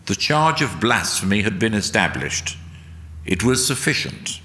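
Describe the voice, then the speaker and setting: Slow, bright-voiced man in a reverberant hall. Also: on the low side, sing-song. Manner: deliberate, emphatic oratory. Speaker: reader at a church service. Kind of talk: solemn dramatic scripted narration.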